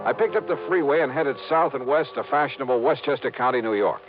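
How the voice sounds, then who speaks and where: A man's voice: mid-range voice, fast talker, lightly acted. An actor playing a dry, wisecracking male insurance investigator who narrates a 1950s radio drama, on a dull old broadcast recording with music. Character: wry hard-boiled male insurance investigator. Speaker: narrator and lead.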